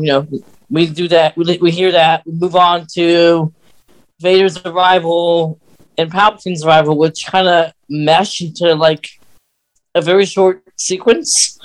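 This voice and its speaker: A man co-hosting a podcast in casual conversation, talking in a halting way. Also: fairly high pitch, low-quality recording. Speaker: podcast co-host.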